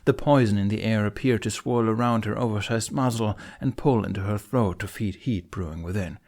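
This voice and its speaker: A man narrating a fantasy adventure audiobook in an expressive, scripted storytelling style. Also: lowish voice, brisk.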